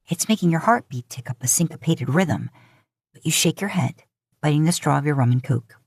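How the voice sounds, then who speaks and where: A woman with a low voice narrates romantic fan fiction in the second person. The recording is dry and close, and the delivery is that of a scripted audio story. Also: lively intonation, fairly quick, a little mellow, husky, no room sound. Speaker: podfic narrator.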